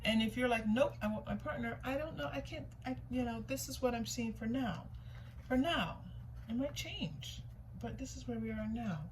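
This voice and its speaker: A woman's voice: fairly high pitch, lively intonation, moderate pace, poor audio. A woman giving a casual, intuitive tarot reading, thinking aloud in an informal way, often repeating herself and questioning what she sees. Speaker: tarot reader hosting a reading. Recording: clear background noise, room ambience.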